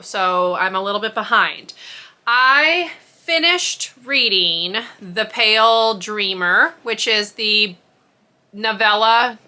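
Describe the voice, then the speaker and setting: Bright-voiced chatty woman book vlogger. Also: a little high. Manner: chatty, rambling, frequent ums and likes. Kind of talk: casual chat to camera.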